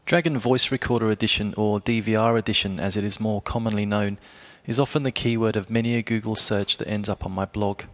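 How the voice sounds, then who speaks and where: A man with a fairly low voice presents a scripted product review. He speaks in a measured, explanatory way and addresses the listener directly, as a blogger giving advice would. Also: steady tone, a bit bright.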